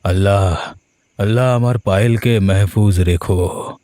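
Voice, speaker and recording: A man's voice: on the low side, unhurried, very noisy, natural voice. A man voicing an anxious, pious older village uncle in a cartoon, sighing and exclaiming dramatically. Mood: worried. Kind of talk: cartoon voice acting. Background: music.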